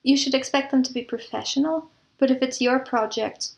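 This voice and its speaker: A woman with a fairly high voice talks as a guest in an interview. She explains things in earnest detail, in a conversational way. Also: moderate pace, vocal fry, dull audio. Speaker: interview guest, audio drama producer and composer.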